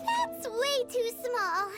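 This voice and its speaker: A woman voicing a tiny, childlike fairy companion in a video game, squeaky, earnest and excitable. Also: takes it slowly, full character voice. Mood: curious, doubtful. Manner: speaks of self in third person, inquisitive. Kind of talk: exaggerated cartoonish game acting. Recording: music bed behind voice.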